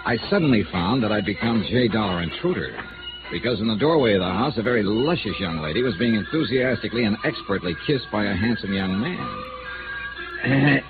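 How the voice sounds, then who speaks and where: A man plays an unflappable American insurance sleuth in old radio noir. The voice is fairly low and moves expressively, dry and punchy. It comes through a narrow vintage recording with music behind it. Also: lively intonation, moderate pace, natural voice. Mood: wry narration. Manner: wry, punchy, hard-boiled delivery. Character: wry, unflappable male freelance insurance investigator. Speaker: narrator and lead. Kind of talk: 1950s radio noir acting and narration.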